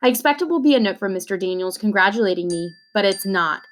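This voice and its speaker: A woman reading a children's novel aloud in an expressive way, acting out the dialogue as she goes. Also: mid-range voice, quick pace.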